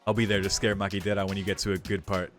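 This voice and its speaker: Male game streamer, lowish voice, casual reading and commentary, soft music behind. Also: flat delivery, very fast.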